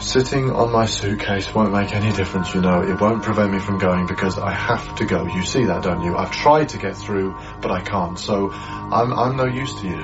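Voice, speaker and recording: An actor playing a troubled, haunted young lodger in a radio drama, speaking in a hesitant, tender way over music in a slightly muffled broadcast. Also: male voice, sits low, natural voice. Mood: gently pleading. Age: young adult. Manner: hesitant, brooding, halting. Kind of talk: radio drama acting, intimate monologue.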